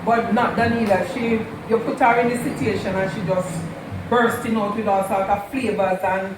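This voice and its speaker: A woman, the host's mother, fondly telling stories about her daughter's childhood at an unhurried pace and repeating herself for emphasis. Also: medium pitch, fairly even intonation. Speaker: mother of the channel host, guest. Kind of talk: casual storytelling. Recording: echoey room, distant mic, noisy.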